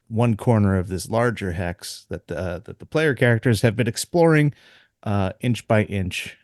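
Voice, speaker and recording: A man's voice: on the low side, a little mellow, dry recording, studio quality. A man co-hosting a podcast as the game's dungeon master, chatting casually, laughing often and restarting his sentences.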